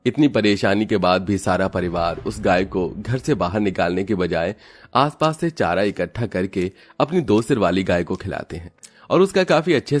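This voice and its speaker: A man narrates an animated moral story in a steady, even storytelling manner. His voice sits low for a man.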